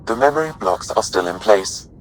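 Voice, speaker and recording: A man performs a calm shipboard AI from a sci-fi game, low in pitch and courteous. Every phrase is measured, formal and precise. A faint rumbling noise sits behind the voice. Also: close to an everyday voice, rough recording. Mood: calm, reflective. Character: calm, courteous male artificial intelligence aboard a spaceship. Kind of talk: video game voice acting.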